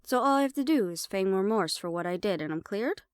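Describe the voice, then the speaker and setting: A woman's voice: medium pitch, lively intonation, brisk, clean tone, dry recording, studio quality, natural voice. A woman playing a sly, defiant half-banshee siren in an audio roleplay, sarcastic and playful as she mocks the listener. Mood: bored, sarcastic.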